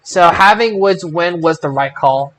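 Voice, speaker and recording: A podcast host recapping wrestling results casually, in a halting way that often repeats phrases. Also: medium pitch, fairly bright tone. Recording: noisy amateur podcast audio.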